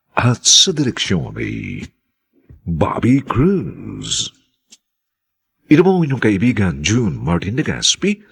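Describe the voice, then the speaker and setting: Gravelly, low-voiced male drama announcer. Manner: formal, drawn-out title phrases.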